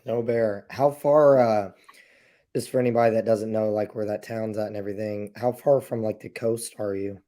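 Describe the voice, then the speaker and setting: A man's voice: lowish voice, steady tone, moderate pace, dark tone. A male podcast host who is losing his voice, asking easygoing questions in casual chat.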